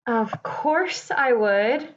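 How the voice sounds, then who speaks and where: An earnest, self-important woman playwright with a fairly high voice. The acting is naturalistic stage drama, heard through slightly muffled call audio. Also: animated, very slow, exaggerated voice. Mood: earnest, sentimental.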